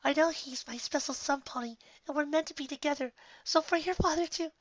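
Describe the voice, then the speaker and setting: A man's voice: very high voice, brisk, fairly bright tone, no echo, lo-fi sound, voice pushed up. A man voicing an anxious female pony confectioner in a dramatic, exasperated manner for a story. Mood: anxious, pleading.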